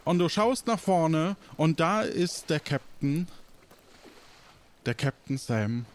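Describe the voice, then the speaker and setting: A man acting as game master, narrating an improvised story informally and haltingly as he invents it. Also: fairly high pitch, lively intonation. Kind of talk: improvised storytelling in second person.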